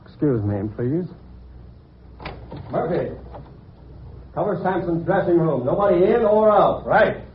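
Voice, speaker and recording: An actor playing a sardonic police inspector in an old-time radio drama, probing with needling, mock-polite questions. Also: male voice, medium pitch, slight background noise. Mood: businesslike. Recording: dull lo-fi old radio recording.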